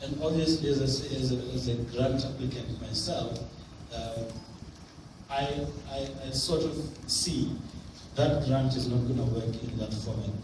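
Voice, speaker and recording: A man on a grant advisory committee giving an earnest, halting conference talk in a hotel conference room. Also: mid-range voice, background noise. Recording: very echoey room from far off.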